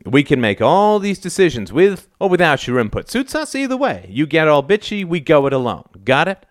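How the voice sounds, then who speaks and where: A man's voice: mid-range voice, very animated, brisk, a bit bright, dry recording, studio quality, pitched above the performer's own voice. A man voicing a harried middle-aged father in an audiobook story, firm one moment and cajoling the next. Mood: stern, fed up.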